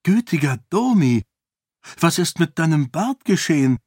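A man voicing a witty, cheeky young noblewoman in an audiobook, playful and sharp-tongued. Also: mid-range voice, very animated, unhurried, no echo, studio quality, pitched above the performer's own voice. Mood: astonished.

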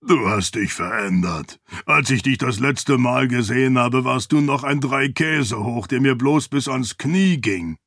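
A man voicing a huge, boisterous, affectionate middle-aged nobleman with a raspy, booming, throaty voice in an audiobook. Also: a little slow, few pauses, fairly bright tone, no room sound, studio quality. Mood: jovial.

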